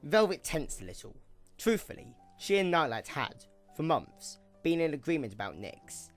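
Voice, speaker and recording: A man narrating a scripted audio drama in an expressive storytelling manner. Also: on the high side, moderate pace, faint music behind.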